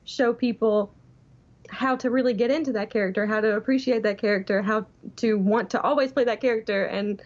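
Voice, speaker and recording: Woman calling into a show, bit higher voice, casual chat, lofi muffled call audio. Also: steady tone, a little fast. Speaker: interview guest, player. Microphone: internet call.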